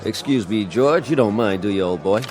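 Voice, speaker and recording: An actor playing a charming, condescending film star in a radio drama. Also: male voice, lowish voice, animated, normal speed, over music, lightly acted. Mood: smug. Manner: suave, condescending. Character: smug charming male leading-man actor.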